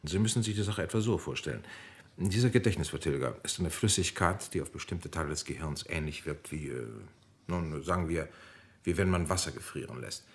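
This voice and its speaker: Low-voiced clinical army doctor. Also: male voice. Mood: explanatory, measured. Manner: measured, explanatory, with hesitant pauses. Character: male army doctor, clinical, cautious, coolly technical interrogation specialist. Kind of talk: radio drama dialogue.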